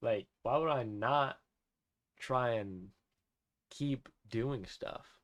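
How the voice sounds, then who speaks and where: Casting: 18-year-old male vlogger, mid-pitched, excited rambling rant, keeps saying it's insane, dry close sound. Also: drawn-out words, no room sound. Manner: awed, repeats himself, restarts often.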